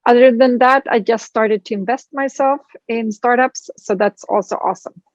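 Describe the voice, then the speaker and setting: A woman's voice: a little high, moderate pace, no echo, lo-fi sound. A woman speaker coach introducing herself on a panel in an upbeat, enthusiastic way. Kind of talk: casual self-introduction.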